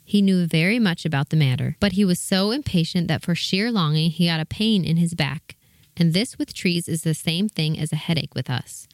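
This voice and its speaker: A woman's voice: lowish voice, moderate pace, no room sound. A woman reading scripted storybook narration as the narrator of a fairy tale.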